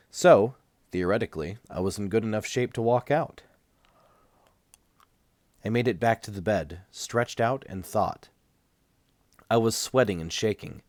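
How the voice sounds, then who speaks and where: Male narrator for first-person fiction, low register, measured and dramatic, slightly under a cold, dry sound. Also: darkish tone, no room sound. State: recovering from a cold. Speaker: amateur audiobook reader.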